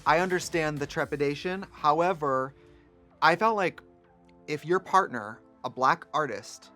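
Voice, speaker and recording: A male host with a somewhat high voice, chatting in a playful, teasing way. He fires off quick-witted jokes at his guest, with faint music underneath. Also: sharp tone. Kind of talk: casual chat.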